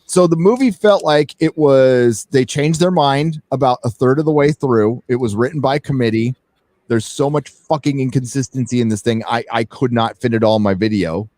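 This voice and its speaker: A man's voice: medium pitch, darkish tone, dry recording. A man hosting a casual film discussion, blunt and swearing often in his talk. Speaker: livestream host.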